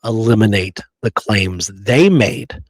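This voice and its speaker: A man's voice: on the low side, lively intonation, takes it slowly, dry recording. A man co-hosting a history discussion show, chatting casually and using informal slang. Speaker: podcast co-host.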